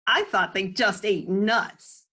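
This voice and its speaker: An eager schoolboy from a children's storybook , read by a woman, curious and quick to exclaim. The delivery is excitable and lifted a little above plain narration, with a slow pace. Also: mid-range voice. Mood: disgusted surprise.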